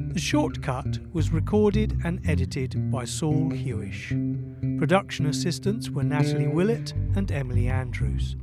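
A man reading scripted credits as an announcer. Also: fairly high pitch. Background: loud plucked guitar music.

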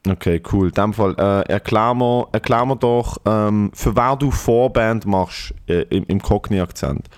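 A man's voice: fairly low pitch, unhurried, few pauses, no echo, clean studio sound. A man, co-hosting a comedy podcast, teasing bluntly and swearing in casual banter. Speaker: podcast co-host.

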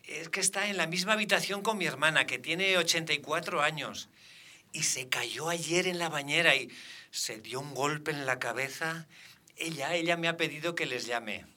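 Man as pious old monk, very bright sharp voice, slightly high, rambling, flustered, kindly, radio comedy. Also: normal speed. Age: older. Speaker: caller to a radio contest. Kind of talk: comic radio theatre acting.

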